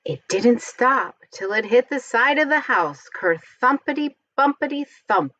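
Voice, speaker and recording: A woman reading a storybook aloud to young children, expressively and with deliberate, careful enunciation. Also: fairly high pitch, expressive, sharp tone, dry recording. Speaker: storybook reader.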